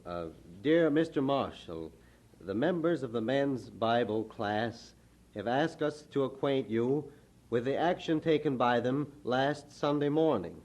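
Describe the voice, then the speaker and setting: An actor playing a modest, sincere Bible class gentleman in a radio drama, speaking formally and respectfully in a measured manner. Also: medium pitch, expressive. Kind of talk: mid-century radio drama acting.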